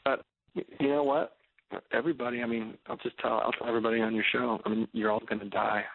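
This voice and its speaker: A man's voice: mid-range voice, little pitch movement, fast talker, vocal fry, no room sound. A man in his thirties talking earnestly as a radio guest, preaching with many stammered restarts. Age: 30s. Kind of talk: phone-in radio interview. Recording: tinny phone line.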